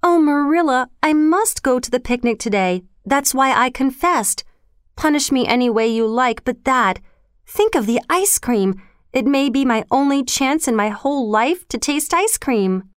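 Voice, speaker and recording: An excitable, earnest little girl from a storybook, acted out by a woman. Her voice is high and clear, with a breathless, dramatic gush. The sound is dry and close. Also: normal speed, dry recording, natural voice. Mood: pleading. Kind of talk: storybook character voice.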